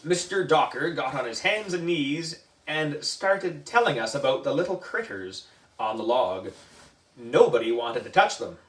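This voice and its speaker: A man reading a children's story aloud in an animated narrating style. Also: on the high side, a little slow. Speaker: book club reader. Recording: echoey room, distant mic.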